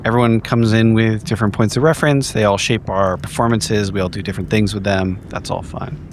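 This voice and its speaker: A man with a fairly low voice plays a tabletop roleplaying game. He improvises dialogue and table talk in a conversational, sometimes profane manner, with faint noise in the background. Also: fairly even intonation, brisk.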